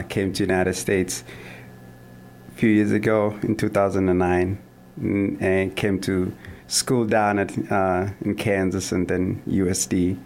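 A man's voice: sits low, creaky, a little mellow. A young man with a Kenyan accent, talking as an interview guest, hesitant with many fillers and restarts. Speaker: guest, running coach and athlete. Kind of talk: casual interview conversation.

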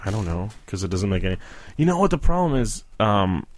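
A man's voice: fairly low pitch, sing-song, brisk. A man hosting a casual interview, blunt and teasing, firing off questions and cutting in. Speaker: podcast interviewer.

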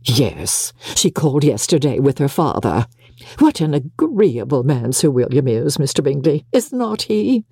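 A narrator acting a chattering, boastful mother, wildly swooping pitch. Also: sing-song, measured pace, mellow, breathy edge, no room sound, clean studio sound, heavily acted. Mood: gushing. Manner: rambling, gossipy, self-satisfied. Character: chattering, boastful middle-aged mother, fussy and gushing. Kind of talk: audiobook character dialogue.

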